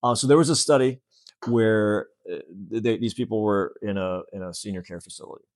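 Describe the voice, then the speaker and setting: A man's voice: lowish voice, steady tone, brisk, darkish tone, no room sound. A man in his late fifties talking earnestly and casually as an interview guest, often breaking off to restart. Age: late 50s. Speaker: fitness center owner, interview guest.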